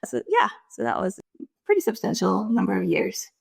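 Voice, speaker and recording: A woman's voice: mid-range voice, big pitch swings, a little fast, gravelly, slightly rough, dry recording. Woman, forties, from Russia, interview guest, thoughtful, dry room. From Russia and Kazakhstan. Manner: thoughtful, laughs lightly between points. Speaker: guest, leadership strategist. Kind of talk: interview conversation.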